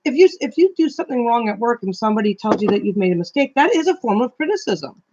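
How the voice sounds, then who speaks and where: A woman's voice: on the high side, sing-song, fast talker, darkish tone, dull audio. A woman co-hosting a casual live stream chat, rambling through thoughts and swearing casually in her carrying voice. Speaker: co-host.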